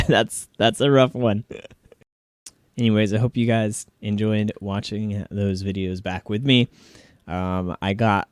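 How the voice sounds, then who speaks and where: Male vlogger, lower register, casual reaction commentary with easy chuckles, dry recording. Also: steady tone, slow, a little mellow, smooth, no room sound. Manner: laughs readily while reminiscing. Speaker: vlogger reacting to own old videos.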